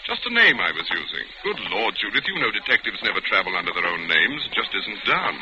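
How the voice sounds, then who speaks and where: Suave detective, very animated. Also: male voice, lowish voice, brisk. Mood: explaining. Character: suave, self-assured male Scotland Yard detective. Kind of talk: 1940s radio drama acting. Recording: noisy, dull vintage radio recording.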